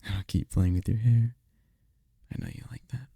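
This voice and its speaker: Man voicing a deep, gravelly incubus boyfriend. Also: animated, no room sound, studio quality, full character voice. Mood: tender, soothing. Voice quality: breathy, gravelly low voice. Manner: soft-spoken, unhurried, breathy, soothing with playful teasing. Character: tender, teasing male incubus demon boyfriend. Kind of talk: intimate ASMR roleplay, comforting.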